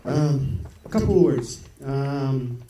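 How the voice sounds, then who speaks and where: A man's voice: mid-range voice, expressive, slow, mellow. Hesitant man giving notices in an echoey hall. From Kazakhstan. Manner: hesitant, many "uh"s and repeated words. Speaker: host executive giving housekeeping notes. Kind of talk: housekeeping announcements at a seminar. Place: conference hall. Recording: echoey hall, far from the mic, light noise.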